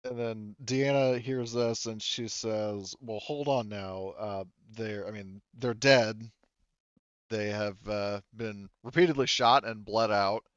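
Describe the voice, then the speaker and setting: Smooth-voiced man, slightly dull dry recording. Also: lowish voice, fairly even intonation, slow, no echo. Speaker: game master. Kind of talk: narrating a tabletop roleplaying game.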